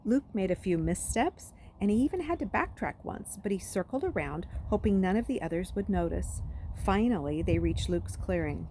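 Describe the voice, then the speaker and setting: A woman reading a story aloud as an expressive narrator. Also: mid-range voice, moderate pace, slight background noise, rough recording. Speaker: read-aloud reader.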